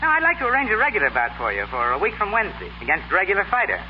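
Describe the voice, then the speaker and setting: An actor playing an affable, well-spoken wealthy young gentleman, in 1930s radio comedy style. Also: high voice, wildly swooping pitch, rapid-fire. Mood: affable, eager. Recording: scratchy, muffled 1930s radio recording.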